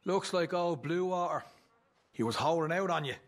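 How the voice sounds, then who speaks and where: An actor playing a cheeky, chatty young Irish gang rogue in a video game, talking in a jovial, rambling, teasing way. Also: male voice, fairly high pitch, moderate pace, close to an everyday voice. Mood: gleeful, confiding. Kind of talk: video game cutscene acting.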